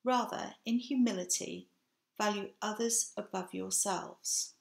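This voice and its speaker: Gravelly older English woman preaching. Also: medium pitch. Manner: measured, earnest delivery. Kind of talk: sermon. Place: home room with bookshelf, indoors. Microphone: webcam, seated facing camera. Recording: echoey room.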